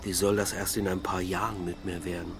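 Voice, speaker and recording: An actor plays a brooding middle-aged man in a radio drama. His voice is deep and weary, delivered listlessly in short phrases. Clear ambient noise with insects surrounds him. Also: flat delivery, brisk, lightly acted. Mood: weary, complaining.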